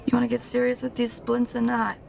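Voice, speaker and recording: A woman's voice: on the high side, completely flat delivery, a little fast, gravelly, a little mellow, slight background noise. A woman playing a foul-mouthed, tough, injured fighter with a crude, sardonic, folksy manner in an audio drama. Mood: brash.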